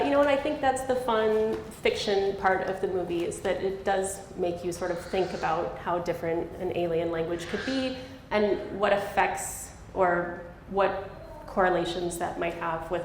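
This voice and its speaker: A woman's voice: fairly low pitch, a little slow, a little hiss or hum. A woman linguist answering questions in a public conversation, explaining in a thoughtful way and laughing now and then. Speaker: linguist giving a public talk. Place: lecture hall. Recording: echoey hall with faint ambience.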